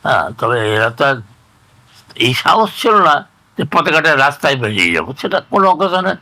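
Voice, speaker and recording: An elderly man in his eighties or older with a West Bengali accent, recalling village life in a halting, rambling, conversational way and often repeating phrases. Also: on the high side, very animated, bright, forceful tone, no echo. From rural West Bengal, India. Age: 80s or older. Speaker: interviewee recounting oral history. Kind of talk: conversational reminiscence.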